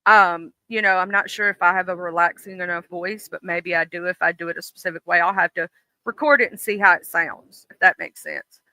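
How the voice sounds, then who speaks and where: A livestream host who reads a horror story aloud in a steady narrating voice, then chats casually with viewers, with many fillers and restarts. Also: mid-range voice, a little fast, dry recording, rough recording.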